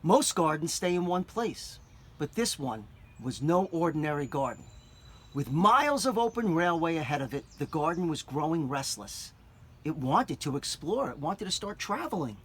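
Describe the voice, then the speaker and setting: A man reading a picture book aloud to children, gently stopping to explain words and show things. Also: on the high side, big pitch swings, moderate pace, slight background noise. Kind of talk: children's read-aloud with asides.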